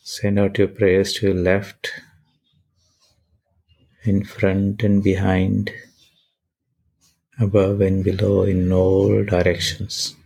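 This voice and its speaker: A man leading a guided meditation and prayer in measured, deliberate short phrases with pauses between them. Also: low-pitched, completely flat delivery, creaky, dark tone, slightly rough, poor audio.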